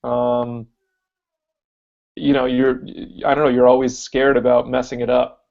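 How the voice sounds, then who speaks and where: A man co-hosting a casual podcast chat, deep-voiced, thinking aloud with lots of fillers. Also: completely flat delivery, measured pace, vocal fry, lo-fi sound. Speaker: podcast co-host.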